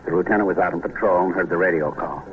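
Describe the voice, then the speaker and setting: An actor playing a matter-of-fact police sergeant in an old radio drama, speaking in clipped reports. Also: male voice, deep voice, quick pace, close to an everyday voice. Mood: businesslike, terse. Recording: dull, faintly noisy old radio recording.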